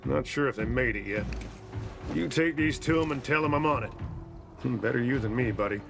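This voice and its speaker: An actor playing a gruff, bossy military lieutenant in a video game, curt and commanding. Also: male voice, mid-range voice, brisk, close to an everyday voice. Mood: brusque. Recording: game music behind.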